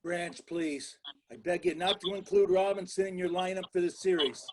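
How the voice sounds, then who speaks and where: A man's voice: high-pitched, steady tone, normal speed, a little mellow. A man playing an indignant, pushy baseball club owner in a dramatic script reading. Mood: demanding.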